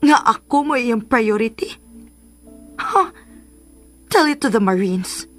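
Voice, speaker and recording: A woman's voice: fairly high pitch, expressive, takes it slowly, fairly bright tone, soft background music. A Filipino actress playing a bitter, sarcastic, lovelorn woman in a radio drama, drawing out her lines with scorn. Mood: bitter, mocking. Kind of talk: Philippine radio drama acting.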